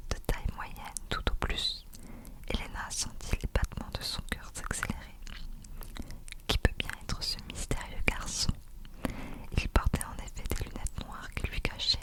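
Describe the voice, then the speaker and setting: Female ASMR narrator for whispered fiction reading; soft, fully unvoiced, hushed delivery throughout. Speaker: ASMR creator reading a novel aloud.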